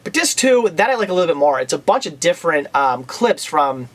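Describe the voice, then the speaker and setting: A young man chatting casually and enthusiastically in a home vlog, talking fast with lots of fillers, his voice a bit worn from a coming cold. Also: on the high side, sing-song. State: losing his voice, getting a cold. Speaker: video blogger reviewing purchases.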